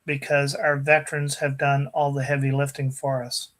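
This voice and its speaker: A man on a podcast panel speaking in a measured, earnest way during a casual discussion. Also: mid-range voice, completely flat delivery, fairly bright tone.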